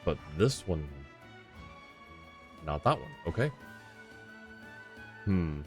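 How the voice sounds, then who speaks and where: A man's voice: sits low, very animated, drawn-out words, a little mellow, soft background music. A man giving casual live commentary as he streams a game, often muttering to himself.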